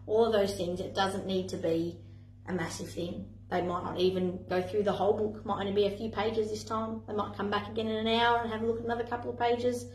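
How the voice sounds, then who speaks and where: A young woman, a playgroup facilitator, tells a picture story for toddlers and their parents in an animated, sing-song way, with lots of exclamations. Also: mid-range voice, fairly quick, far from the mic. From Australia. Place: indoor playroom, in front of a screen. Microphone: far camera. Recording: echoey room, voice from afar.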